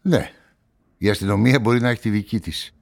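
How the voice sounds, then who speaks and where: An actor playing a guarded amateur sleuth, telling a crime story in measured, reflective radio-play narration and dialogue. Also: male voice, on the low side, creaky.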